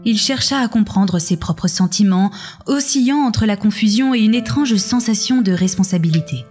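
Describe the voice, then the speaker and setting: Mellow female audio fiction narrator. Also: mid-range voice, normal speed. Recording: music behind the voice.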